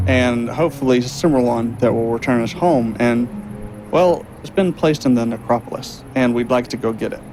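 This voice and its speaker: A man's voice: medium pitch, background noise, close to an everyday voice. An actor playing an earnest, flustered young man in an audio drama, speaking politely and hesitantly.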